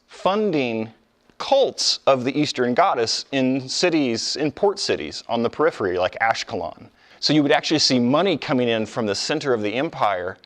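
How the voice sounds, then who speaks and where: A man lecturing informally on ancient history as a professor, explaining in a conversational way with colloquial asides. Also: medium pitch.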